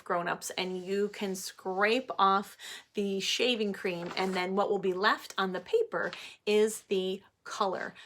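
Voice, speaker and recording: A woman's voice: mid-range voice, fairly bright tone, slight background noise. A woman speaking as an early-childhood teacher, explaining things simply to children and parents in a craft and read-aloud lesson.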